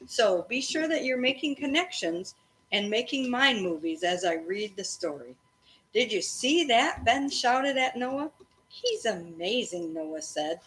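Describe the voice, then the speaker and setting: A woman teacher reading a storybook aloud to children expressively, pausing to explain and prompt her listeners. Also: medium pitch, fairly bright tone, low-quality recording. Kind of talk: interactive children's read-aloud of a storybook, with questions and asides. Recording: faint background noise.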